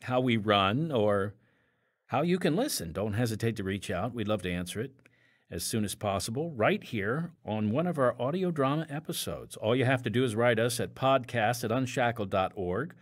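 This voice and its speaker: A man's voice: mid-range voice, lively intonation, normal speed. A man hosting an audio drama podcast, reading announcements with casual, conversational asides. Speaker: podcast host.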